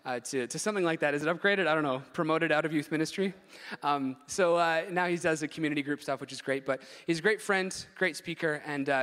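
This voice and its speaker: A man in a church introducing the guest speaker and praying for him, quick and a little halting with fillers. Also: fairly high pitch, fairly bright tone. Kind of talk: introduction and prayer. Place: church hall. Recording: long church hall reverb.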